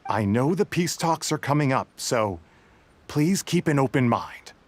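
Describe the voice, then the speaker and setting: A man's voice: mid-range voice, expressive, unhurried. An actor playing a dutiful, suspicious guard in a video game, deferential and earnest toward his leader. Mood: concerned, respectful. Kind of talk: scripted video game dialogue.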